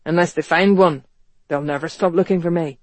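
A man acts out a gruff, wary man from a period novel. He is terse and plain-spoken, and the audiobook sound is close and very dry. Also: on the high side, fairly even intonation, brisk, dry recording, natural voice. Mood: wary, insistent. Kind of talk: audiobook character dialogue.

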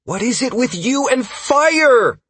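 A man voicing an exasperated male mage adventurer in an audiobook, loud and incredulous. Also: very high-pitched, animated, slow pace, husky, voice pushed up, heavily acted.

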